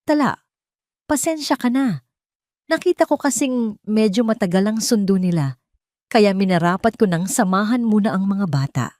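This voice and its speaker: A woman voicing a deceitful rival woman in an audio drama, soft, coaxing and falsely humble. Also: mid-range voice, animated, normal speed, long pauses, dry recording, clean studio sound, voice pushed up, lightly acted. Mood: falsely sweet.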